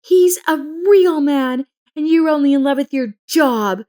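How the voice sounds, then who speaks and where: A woman voicing a hysterical, defiant cheating wife in a story, shouting tearfully and full of contempt. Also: high-pitched, expressive, a little slow, pitched above the performer's own voice.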